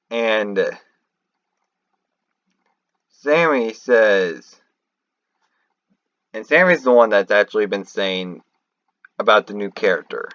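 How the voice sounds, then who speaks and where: A man giving unscripted commentary on game lore in a halting, rambling way, often restarting mid-thought. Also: on the low side, fairly bright tone, no room sound, highs cut off. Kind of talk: unscripted theorizing commentary over a screen recording.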